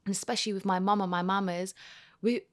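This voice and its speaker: A young woman talks as a podcast guest, chatty and expressive, sprinkling "like" through her sentences. Her voice sits at a middling pitch, and the recording is dry and close with little room sound. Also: fast talker, no echo. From London, England. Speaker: guest, TV and radio presenter. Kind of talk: casual podcast chat.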